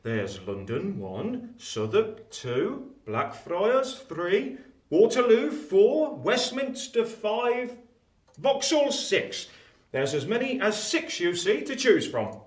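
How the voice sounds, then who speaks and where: An actor playing a precise Victorian London clerk in an audio drama, speaking in a pedantic, emphatic and deliberate manner. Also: male voice, high-pitched, very animated, roomy sound, heavily acted. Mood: pedantic, cautioning.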